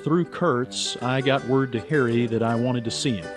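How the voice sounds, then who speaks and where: Earnest stubborn guy actor playing him, medium pitch, radio drama, music behind. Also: moderate pace, lightly acted. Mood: grave narration. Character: earnest, stubborn American writer, narrating his own story. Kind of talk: radio drama acting and first-person narration.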